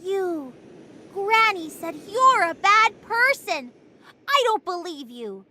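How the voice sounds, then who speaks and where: A woman's voice: very high voice, big pitch swings, slow pace, sharp tone, a little hiss or hum, full character voice. A woman voicing a small, earnest, defiant young character in an anime game.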